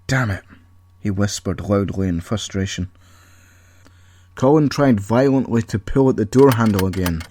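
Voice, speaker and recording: A man's voice: mid-range voice, a little mellow, no room sound. A man reading a scripted story as narrator, with a wry, tongue-in-cheek manner. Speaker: author and narrator.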